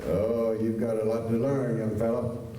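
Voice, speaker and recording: A man's voice: medium pitch, level tone, moderate pace, warm tone, natural voice. A man playing a stubborn, aggrieved old widower in a staged radio western, insistent and plaintive, repeating himself. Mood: smug, indignant. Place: high school auditorium stage. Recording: echoey auditorium, distant mic, faint noise.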